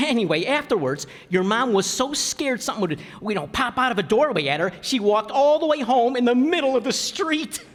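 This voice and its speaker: A woman's voice: fairly high pitch, very animated, moderate pace, few pauses. A woman playing a bumbling, playful working-class father who talks in hesitant, folksy, ungrammatical speech in a 1940s-style radio sitcom. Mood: sneaky, eager. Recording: echoey hall stage recording.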